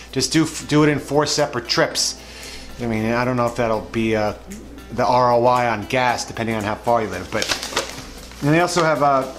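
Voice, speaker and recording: A man hosts a vlog, talking casually and hesitantly about supermarket flyers, with many fillers. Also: medium pitch. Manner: rambling, hesitant, full of uh fillers. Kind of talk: casual unscripted commentary. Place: indoors at a table, voice-over to overhead shots. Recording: music playing under the voice. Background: background music.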